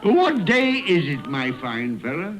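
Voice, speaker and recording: An excitable, swooping old man. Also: a little high, unhurried, crowd noise. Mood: giddy, joyful. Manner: theatrical, gasping, stammering, exclamatory. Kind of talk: 1930s radio drama acting. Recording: 1930s radio recording with music.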